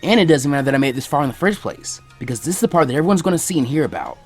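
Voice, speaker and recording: A man voicing a brash, boastful teenage boy ninja, loud and showy. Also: a little high, expressive, very fast, breathy edge, faint music behind. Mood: cocky.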